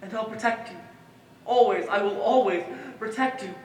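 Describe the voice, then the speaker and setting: A woman acts out an obsessive, protective inner voice in a stage play. The delivery is intense and repetitive, in a medium pitch. It sounds distant in a reverberant hall with faint noise. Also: normal speed, darkish tone, natural voice. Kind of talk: theatrical stage monologue. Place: stage in a hall, one-act play performance.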